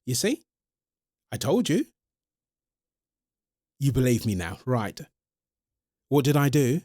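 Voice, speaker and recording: A man playing a centuries-old vampire boyfriend in an ASMR roleplay, exasperated but protective, speaking haltingly and pleadingly to the listener. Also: mid-range voice, sing-song, mellow, dry recording, studio quality. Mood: reassuring, earnest. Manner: hesitant, halting, pleading, addresses listener directly.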